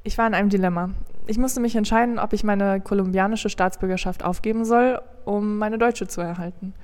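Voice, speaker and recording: A calm, earnest woman from Colombia answers interview questions in a middle-pitched voice. Her words ring with a long reverb, as if she were in a large hall. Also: a little fast. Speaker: interviewee, lawyer.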